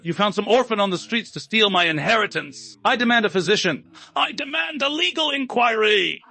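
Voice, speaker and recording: A man's voice: high-pitched, expressive, a little fast, creaky, bright, forceful tone, dry recording, voice pushed up, heavily acted. Guy doing arrogant rich husband in an audiobook, indignant, blustery, voice jumps way up. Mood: panicked outrage. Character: arrogant aristocratic husband, outraged and panicked at losing his inheritance. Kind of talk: dramatic audiobook character acting.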